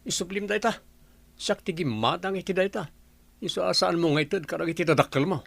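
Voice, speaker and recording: A man playing a gruff, tight-fisted husband and tricycle driver in a radio drama, blunt and bossy, with an Ilocano accent. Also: high voice, a little fast, fairly bright tone, no room sound, heavily acted. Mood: resentful, angry.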